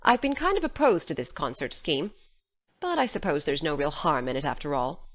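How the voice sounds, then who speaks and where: A woman's voice: sits low, big pitch swings, normal speed. A woman playing a stern, practical older farm woman, matter-of-fact and reserved, in an audio drama. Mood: grudgingly approving.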